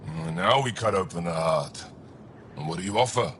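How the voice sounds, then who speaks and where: An actor playing a gruff alien cargo trader in a video game, speaking in terse, clipped phrases. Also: male voice, sits low, normal speed, fairly bright tone. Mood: shrewd bargaining. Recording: clear background noise.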